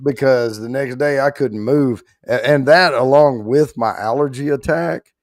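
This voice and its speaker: Livestream host, male, mid-pitched, hoarse and strained, rambling folksy chat, keeping his volume down. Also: unhurried, dry recording, studio quality. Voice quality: rough, strained voice. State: hoarse, worn out after allergy attack. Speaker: host of a whiskey giveaway livestream.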